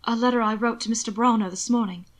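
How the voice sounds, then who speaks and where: A woman plays a weary gentleman in an audiobook story. He speaks with polite restraint and earnest feeling. His manner is subdued, yet he holds his ground gently. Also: a little high, steady tone, quick pace, lightly acted. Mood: apologetic, tender. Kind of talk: audiobook character dialogue.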